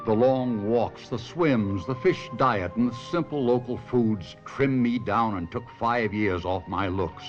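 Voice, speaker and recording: A man's voice: on the low side, a little slow, few pauses, natural voice. An actor playing a devoted but restless husband, a ventriloquist telling his own story in a 1970s radio drama. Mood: reflective. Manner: dramatic, reflective first-person storytelling. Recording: muffled radio broadcast, music behind.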